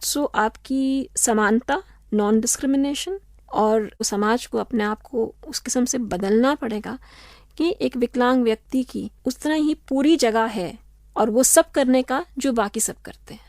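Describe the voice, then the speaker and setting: A woman law professor answering interview questions in a measured, explanatory way, slipping in English phrases. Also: on the high side, darkish tone, no room sound, studio quality. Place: radio studio.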